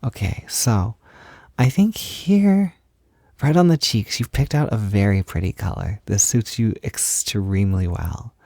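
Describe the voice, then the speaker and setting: A man playing a tender, encouraging partner in an intimate roleplay audio, speaking softly in a gentle, hesitant, reassuring way. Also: fairly low pitch, animated, unhurried, dry recording, clean studio sound. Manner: gentle, reassuring, hesitant, with breathy whispered moments. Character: tender, encouraging man comforting partner while doing makeup. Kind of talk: intimate roleplay audio, soft ASMR talk.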